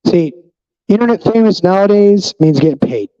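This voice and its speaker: A fifty-year-old man rants straight at his viewers in a casual vlog, blunt and quick to swear. His voice sits a bit high but sounds dark and mellow. The recording is dull and lo-fi. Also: expressive. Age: 50s. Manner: blunt, profane, ranting. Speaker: vlogger.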